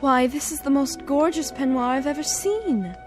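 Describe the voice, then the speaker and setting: Radio drama: actress as sweet, playful young female office worker, coy delivery, higher pitch. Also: moderate pace, soft background music, natural voice. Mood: delighted.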